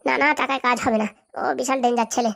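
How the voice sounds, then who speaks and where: A woman's voice: fairly high pitch, flat delivery, rapid-fire, creaky. A woman voicing a greedy, domineering village madam with a rural Bengali accent in a comedy cartoon. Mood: anxious, eager. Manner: domineering, scolding. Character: bossy, greedy village madam running for election.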